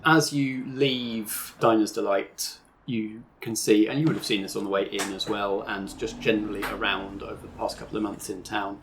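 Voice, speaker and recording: A man's voice: on the low side, a little hiss or hum, rough recording. A man hosting a roleplay podcast and narrating as game master. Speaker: game master and show host.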